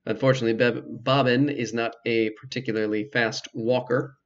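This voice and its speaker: A man giving casual, explanatory let's play commentary, with hesitant pauses as he goes. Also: medium pitch, steady tone, muffled recording. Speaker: video game player and commentator.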